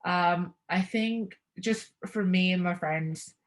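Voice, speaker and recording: A young woman with a somewhat low voice, speaking in a relaxed, conversational way. She thinks out loud, restarts her sentences and laughs lightly between thoughts. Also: slow pace. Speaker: interview guest. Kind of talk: casual conversational interview.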